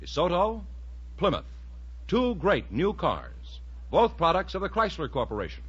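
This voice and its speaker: A man's voice: high-pitched, big pitch swings, normal speed, a bit bright, breathy edge. An American man doing scripted announcing for a 1950s radio show. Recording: dull 1950s radio recording.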